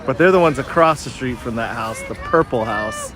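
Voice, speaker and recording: Man, casual chat, mid-pitched voice, outdoor sideline, crowd noise behind. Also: moderate pace. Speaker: spectator chatting at a youth soccer game. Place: outdoors beside a sports field. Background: crowd and urban outdoor sounds.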